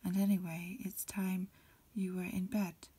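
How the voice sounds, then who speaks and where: A woman whispers her way through a storybook in an ASMR style. Her voice is extremely breathy and on the low side. She reads softly and evenly in short, unhurried phrases. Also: flat delivery. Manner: soft, unhurried, phrase-by-phrase reading. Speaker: amateur reader. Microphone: lo-fi home recording.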